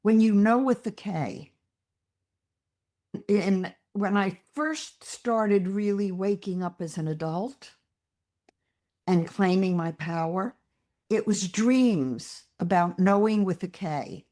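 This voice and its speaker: Slow, measured woman psychologist. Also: mid-range voice, warm tone. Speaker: psychologist guest. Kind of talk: interview, explaining ideas.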